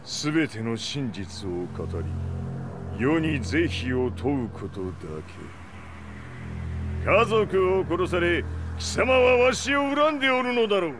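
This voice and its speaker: A man's voice: fairly high pitch, animated, normal speed, close to an everyday voice. A male actor playing a ruthless, proud old martial-arts patriarch in a video game, speaking commandingly in archaic old-man style. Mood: scornful, angry. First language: Japanese. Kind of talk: dramatic video game acting. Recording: dramatic music behind the voice.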